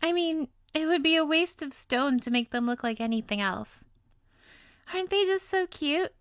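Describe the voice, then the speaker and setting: A woman's voice: high-pitched, animated, normal speed, fairly bright tone, no echo, studio quality. A woman playing a sweet, playful, possessively lovestruck young witch in an intimate audio roleplay, with coy, doting, theatrical delivery. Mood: gleeful, proud.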